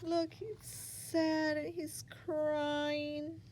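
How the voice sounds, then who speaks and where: A woman's voice: sits high, little pitch movement, drawn-out words, darkish tone, a little hiss or hum. A woman reading a picture book aloud to young children, expressively, with asides and questions to the listener.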